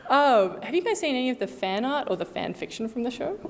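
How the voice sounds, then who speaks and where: Higher-voiced woman interviewing, echoey and noisy. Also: very animated, quick pace. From Australia. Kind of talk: casual interview questions. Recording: echoey room with background noise.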